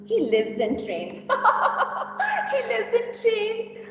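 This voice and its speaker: A woman performs a tactless young gossip in an amateur stage reading. The voice is high and very animated, taking its time over each judgment, in a muffled, echoey hall heard from far off. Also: very slow, heavily acted. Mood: gossipy, scornful. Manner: exaggerated, animated, giggly reactions. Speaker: teacher acting in a classroom reader's theater. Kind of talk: amateur reader's theater performance.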